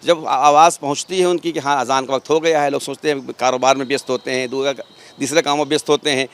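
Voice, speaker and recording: A man's voice: medium pitch, fairly even intonation, quick pace, fairly bright tone, smooth. Man, mid-range voice, local interviewee, earnest explaining, repeats and rephrases, outdoor news interview. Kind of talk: street interview answers. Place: outdoors outside a mosque.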